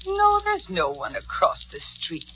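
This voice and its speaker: A woman's voice: on the low side, huge pitch swings, a little slow. A woman playing an affectionate, concerned sweetheart in a 1940s radio drama, on a noisy old recording. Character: affectionate, concerned lover of a troubled man.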